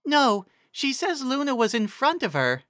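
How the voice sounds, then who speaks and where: A man voicing an earnest young female pegasus pony, with slow, drawn-out, simple phrasing. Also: very high-pitched, huge pitch swings, sharp tone, no room sound, studio quality, pitched above the performer's own voice, exaggerated voice. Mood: earnest, tired.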